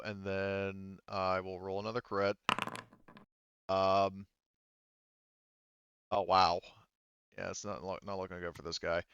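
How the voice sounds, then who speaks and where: A man running a tabletop game as game master, thinking aloud in a halting, casually profane way as he talks through rules and narration. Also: fairly low pitch, a bit bright, smooth, no echo, highs cut off.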